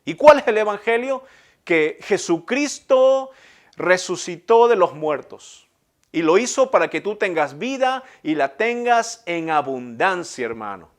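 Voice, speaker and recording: A man's voice: high-pitched, sing-song, unhurried. A middle-aged man from Ecuador with a Guayaquil Spanish accent, preaching a sermon as pastor with emphatic repetition and rhetorical questions.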